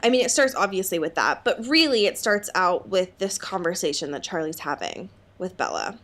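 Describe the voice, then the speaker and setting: A woman's voice: on the low side, animated, moderate pace, fairly bright tone. A woman co-hosting a podcast, chatting casually about a book and acting out quotes with informal asides. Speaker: podcast co-host. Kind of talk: casual book discussion chat.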